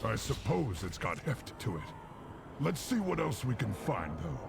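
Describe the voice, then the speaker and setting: An actor voicing a gruff, noble orc warrior in a video game, speaking in a grave, terse, proud manner. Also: male voice, medium pitch, very animated, unhurried. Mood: gruff, appraising. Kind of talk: video game cinematic acting. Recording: noisy game audio with ambience behind.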